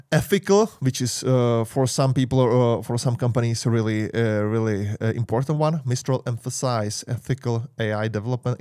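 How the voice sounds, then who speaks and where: A man's voice: medium pitch, mellow, dry recording, studio quality. A man in his thirties, a native Czech speaker with a Czech accent, hesitantly reading tech points aloud and adding casual asides. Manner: hesitant, many "uh" and "you know", reads points aloud then comments. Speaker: tech YouTuber. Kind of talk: screen-share tech review with asides. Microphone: studio mic on boom, webcam inset.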